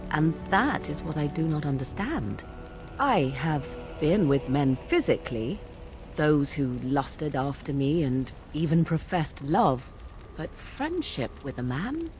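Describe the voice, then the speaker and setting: An actress voicing a proud, guarded young witch in a video game, with formal, archaic, measured speech. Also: deep voice, big pitch swings, a little mellow, exaggerated voice. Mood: earnest, guarded. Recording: music underneath. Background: music.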